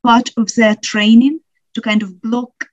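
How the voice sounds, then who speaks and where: A woman's voice: on the high side, steady tone, unhurried, dry recording, highs cut off. Ukrainian-accented woman, hesitant and thoughtful. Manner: thoughtful, hesitant with many fillers. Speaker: guest researcher. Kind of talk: panel discussion.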